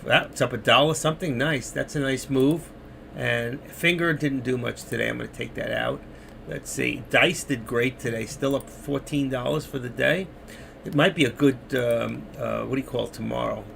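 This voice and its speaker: A man's voice: mid-range voice, steady tone, normal speed, vocal fry, fairly bright tone, slight background noise. A middle-aged man moderating a trading live stream, rambling casually to viewers while losing his voice. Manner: rambling, chatty, talking to chat viewers.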